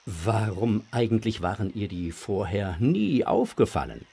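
Children's story narrator, male, husky tone, lively and varied intonation, expressive scripted read. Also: fairly low pitch, a little slow.

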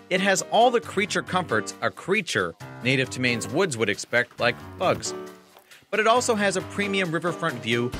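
Wry male travel-show narrator. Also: fairly high pitch. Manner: wry, dry humor. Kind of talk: scripted voice-over narration.

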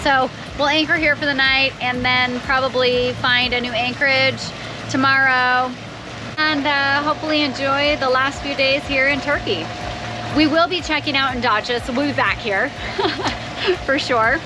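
Casual woman vlogging, clear outdoor boat noise. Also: high voice, steady tone, measured pace. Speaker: sailing vlog host. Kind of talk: casual chat to camera. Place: outdoors on a sailboat. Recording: noisy open-air boat recording.

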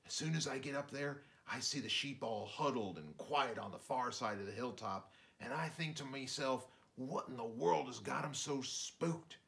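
A man's voice: mid-range voice, moderate pace, creaky, hardly any pauses, fairly bright tone, voice pushed up. A man voicing an agitated rustic rabbit father in a children's storybook, talking in folksy, ungrammatical country phrases. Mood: uneasy, recounting.

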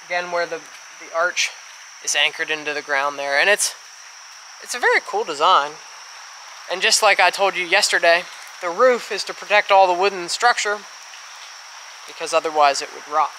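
A man in his thirties chats with his viewers in a bright, forward voice while holding the camera at arm's length. He explains what he sees as he walks along a creek outdoors. Faint crickets and insects hum in the background. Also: high-pitched, rough recording. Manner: chatty, explains as he goes, addresses viewers as friends. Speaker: travel vlogger. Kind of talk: casual walk-and-talk vlog. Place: outdoors by a covered bridge and creek. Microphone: handheld camera at arm's length.

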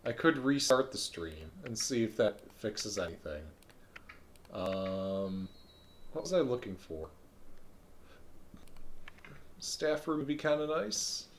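A man streaming a video game live, thinking aloud in a relaxed way with frequent ums. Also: medium pitch, slight background noise. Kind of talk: live let's-play commentary.

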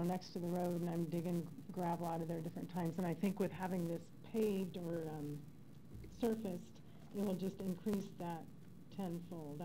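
Low-voiced middle-aged woman at a hearing. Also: dark tone, poor audio. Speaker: resident speaking at a public hearing. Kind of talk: public comment, informal. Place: council chamber, indoors. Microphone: gooseneck podium microphone. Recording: faint background noise, public meeting room.

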